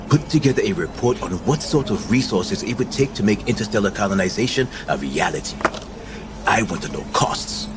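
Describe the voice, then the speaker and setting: An actor playing a cynical, world-weary visionary leader in a science fiction audio drama, theatrical and dismissive. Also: male voice, on the low side, noisy background, close to an everyday voice. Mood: brisk, commanding.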